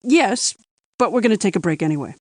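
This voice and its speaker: A woman's voice: mid-range voice, animated, brisk, heavy background noise, lightly acted. A woman voicing an easygoing cartoon judge with a casual, hesitant way of interjecting. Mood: offhand. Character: offhand, easygoing courtroom judge. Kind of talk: animated courtroom cartoon voice acting.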